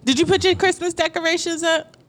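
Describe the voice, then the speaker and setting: A woman's voice: extremely high pitch, flat delivery, brisk, a bit bright. A woman co-hosting a podcast in casual chat, teasing and giggly, asking her co-host questions.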